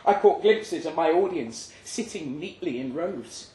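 A man reading literary fiction aloud in a dramatic first-person narration, with a grave, measured delivery. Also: a little high, animated, dark tone, a little hiss or hum. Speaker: story reader. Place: indoor live reading event. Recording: echoey live room.

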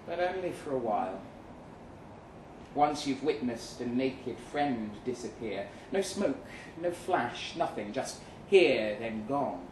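A man performing a live short-story reading as a weary, jaded agent, speaking deliberately with dramatic pauses. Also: mid-range voice, expressive. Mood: grave, reflective. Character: weary, jaded male agent narrating his own story. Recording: roomy live venue, some noise.

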